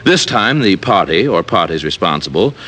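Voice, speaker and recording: An actor playing a haughty, fussy owner of a fashionable tailor's store, speaking pompously and precisely in an old-time radio drama. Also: male voice, sits low, big pitch swings, normal speed, pushed and bright, full character voice. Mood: fussy, aggrieved. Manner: pompous, disdainful, precise wording.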